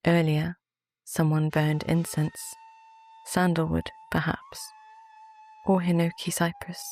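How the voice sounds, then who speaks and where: A woman with a British accent gently narrates a guided sleep meditation in a soft, calm, soothing voice. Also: deep voice, slow, faint music behind.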